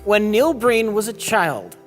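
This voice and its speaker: A hoarse man giving a rambling, incredulous comedic recap of a plot as a panelist. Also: high-pitched, big pitch swings, unhurried, faint music behind.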